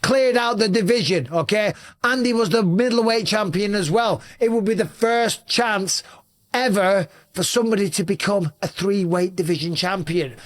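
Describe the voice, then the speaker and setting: A middle-aged man with an English accent, hosting a fast, shouty commentary monologue, his voice a little raspy. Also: high voice, dry recording. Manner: talks very fast and shouts a lot. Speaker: host.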